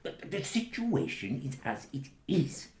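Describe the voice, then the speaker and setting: A man playing a placating, reproachful politician in a radio play, with a measured, condescending manner. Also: mid-range voice, wildly swooping pitch, reverberant. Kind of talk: satirical radio play acting.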